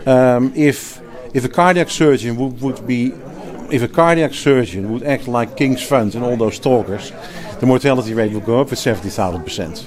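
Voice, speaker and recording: A man's voice: medium pitch, normal speed, hardly any pauses, crowd noise. A man from the Netherlands with a Dutch accent, a healthcare executive and clinician, talking emphatically in an interview, restarting phrases and laughing easily. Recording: interview at a crowded event.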